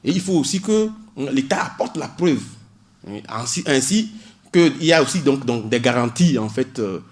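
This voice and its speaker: A man's voice: medium pitch, lively intonation, normal speed. A man, a doctoral student in public law, explaining asylum law on a radio show with many hesitations and cited articles. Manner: hesitant, many fillers, cites legal articles.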